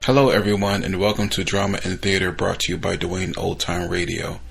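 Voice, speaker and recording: A man's voice: sits low, steady tone, fairly quick, vocal fry, a bit bright, faint music behind. A man hosting an old-time radio channel, giving a friendly, plain intro. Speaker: podcast host.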